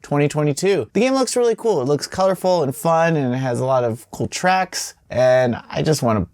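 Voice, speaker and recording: A man's voice: on the high side, lively intonation, a little fast, darkish tone, no room sound. Casual man hosting game news, dry close sound. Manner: informal, chatty asides and self-doubting remarks. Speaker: host of a weekly video game news show. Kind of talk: casual news rundown with off-the-cuff commentary.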